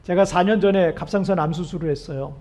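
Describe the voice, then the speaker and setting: Man, pastor preaching, very high voice, hoarse, cracks on high notes, earnest questions to the congregation. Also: fast talker, darkish tone. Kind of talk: sermon.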